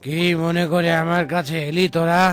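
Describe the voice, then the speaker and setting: A man's voice: a little high, flat delivery, moderate pace, close to an everyday voice. A man voicing a fatherly village sage in a cartoon, solemn, soothing and a little patronising. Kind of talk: cartoon voice acting. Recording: music behind the voice.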